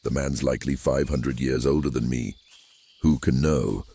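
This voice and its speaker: A man voicing an ancient, grand and sly djinn in a fantasy audio drama, with a gravelly, husky growl. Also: rumbling low, fairly even intonation, moderate pace, warm tone, pitched below the performer's own voice, full character voice. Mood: boastful.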